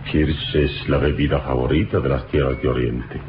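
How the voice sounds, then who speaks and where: An actor playing a noble, worldly adventurer in an old radio serial, measured, suave and grandiloquent. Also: male voice, rumbling low, fairly even intonation, background noise. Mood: gallant, philosophical. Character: noble, worldly male adventurer hero, calm and gallant. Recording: muffled old radio recording with music.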